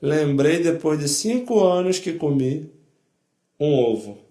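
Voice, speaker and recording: An older man tells his life story as personal testimony. His voice sits fairly high, and he speaks in short, plain sentences, often repeating himself. The room gives the recording an audible echo. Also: lively intonation, slow pace, warm tone. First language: not Portuguese. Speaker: Holocaust survivor giving testimony. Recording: echoey room.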